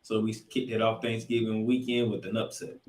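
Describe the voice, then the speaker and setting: A man's voice: fairly low pitch, little pitch movement, a little fast, a little mellow. A man hosting a sports podcast, giving colloquial, showman-like recap commentary. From Florida, United States. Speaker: podcast host. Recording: echoey room sound.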